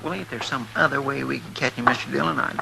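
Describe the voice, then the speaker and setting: A man's voice: mid-range voice, fast, creaky. A man acting a loyal, anxious deputy sidekick in a 1950s radio western, with a rural Western drawl and deferential manner. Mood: worried, loyal. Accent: rural American West. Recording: noisy, humming 1950s radio recording.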